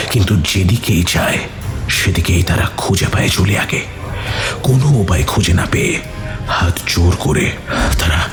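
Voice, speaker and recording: A man narrating a scripted horror story in a dramatic, breathy, suspenseful manner. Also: deep voice. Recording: music under the voice.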